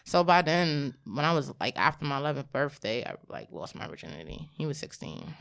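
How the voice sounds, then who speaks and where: A young woman in her twenties, a podcast guest telling her story in a candid, casual and blunt way, in a small studio at a boom mic. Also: very animated, brisk, creaky, fairly bright tone. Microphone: studio mic on a boom arm.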